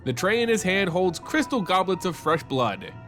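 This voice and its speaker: A man's voice: high voice, sing-song, moderate pace, fairly bright tone, faint music behind. A man reading a fantasy story aloud as narrator, in a dramatic scripted style.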